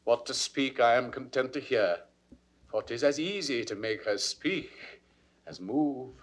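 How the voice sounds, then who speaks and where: A man's voice: medium pitch, lively intonation, natural voice. A British man acting a grieving, awestruck king in classical Shakespearean audio drama, declamatory and fervent. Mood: hushed awe. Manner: declamatory, fervent, drawn-out delivery.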